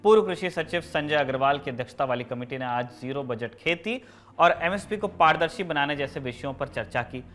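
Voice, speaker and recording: A man's voice: fairly high pitch, animated, brisk, fairly bright tone, slight background noise. A man presenting scripted news as the host of a show.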